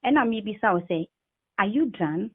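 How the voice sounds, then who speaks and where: A woman's voice: medium pitch, expressive, unhurried, breathy edge, no echo. Terse Ghanaian woman on tinny phone line. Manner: terse, dismissive. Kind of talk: tense private phone conversation. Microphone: telephone. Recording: thin, tinny telephone line.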